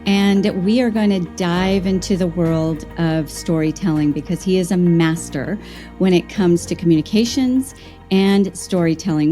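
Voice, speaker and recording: Mellow-voiced enthusiastic woman host. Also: lowish voice. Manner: enthusiastic, welcoming. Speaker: podcast host. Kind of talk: interview hosting.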